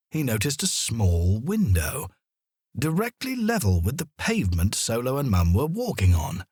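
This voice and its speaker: Animated, slow-paced male children's storyteller. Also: medium pitch, slightly rough, dry recording, clean studio sound. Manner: deliberate, expressive storytelling. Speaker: audiobook narrator. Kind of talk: scripted children's story narration.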